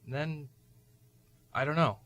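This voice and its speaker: A man's voice: fairly high pitch, fairly even intonation, a little slow, a bit bright, no echo, studio quality. A man playing Bear, a wise, welcoming husband who writes plays, in an easygoing, rambling manner. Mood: calm, wry. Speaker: cottage host and husband. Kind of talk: amateur radio play.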